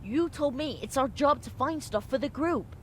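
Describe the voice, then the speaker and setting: Eager small boy scavenger: high-pitched, adventurous, video game cutscene, slight rumble. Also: moderate pace, fairly bright tone. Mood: excited. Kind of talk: video game dramatic acting.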